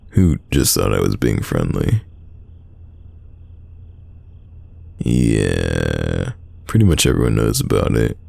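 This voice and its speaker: Man acting a very deep, gravelly teasing crush. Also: no room sound, heavily acted. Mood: fond, sincere. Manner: playful, teasing, soft close delivery. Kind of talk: romantic roleplay audio, intimate one-sided dialogue.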